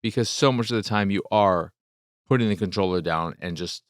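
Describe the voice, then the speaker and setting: Guy doing a solo game podcast, lowish smooth voice, stop and start phrasing, really dry close sound. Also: no echo. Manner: halting delivery in short phrases with pauses. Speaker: podcast host.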